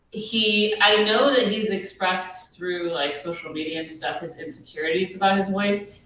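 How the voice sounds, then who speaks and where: A woman with a deep voice co-hosting a podcast, musing in a casual, hesitant way with many ums. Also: pushed and bright, heavy reverb, recorded from a distance, rough recording. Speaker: podcast co-host. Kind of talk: casual recap chat.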